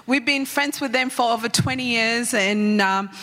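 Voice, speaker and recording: A woman in her forties, raised in Sri Lanka, with an Australian accent carrying Sri Lankan traces. She speaks warmly to a church and leads a fervent prayer, repeating "Lord God". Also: fairly high pitch, normal speed. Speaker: pastor's wife, guest speaker. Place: church hall. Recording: echoey church hall.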